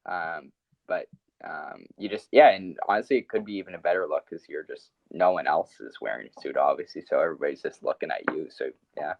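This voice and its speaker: Young male podcast co-host, low pitch, lo-fi dry sound, hesitant and laughing. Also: brisk, creaky, no room sound. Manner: laughs often, hesitant with many ums. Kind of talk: casual sports podcast chat. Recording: dull, dry podcast audio.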